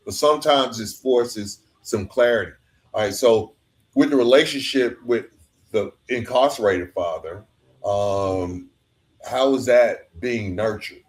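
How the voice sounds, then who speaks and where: A man's voice: mid-range voice, measured pace. A man hosting a conversational interview, reflective and informal, sharing his own anecdotes and often checking in with a tag question. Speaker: podcast host.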